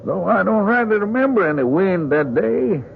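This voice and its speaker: An actor playing a nervous, folksy lumberjack with a rural American accent and a hesitant manner in an old-time radio drama. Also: male voice, sits high, lively intonation, normal speed, vocal fry. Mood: friendly, folksy. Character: nervous folksy backwoods lumberjack, easily cowed. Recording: tinny, hissy old radio recording.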